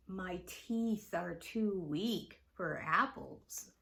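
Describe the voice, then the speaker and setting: Woman reading as a slow, tired old man. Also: on the low side, gravelly, a bit bright, full character voice. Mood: weary. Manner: slow, weary, subdued. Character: sad, tired old man, once the boy.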